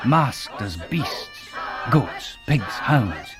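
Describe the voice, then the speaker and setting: An actor playing a troubled, earnest young Scottish minister, narrating a radio drama in formal, literary phrases. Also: male voice, mid-range voice, very animated, extremely slow, music in the background. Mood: troubled, grave.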